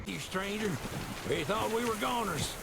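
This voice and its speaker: A man's voice: sits high, measured pace, creaky, heavy background noise. An actor playing a grateful male survivor in a game, folksy and eager to help. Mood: grateful, helpful. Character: grateful, creaky-voiced male oil field survivor. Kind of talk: video game character dialogue.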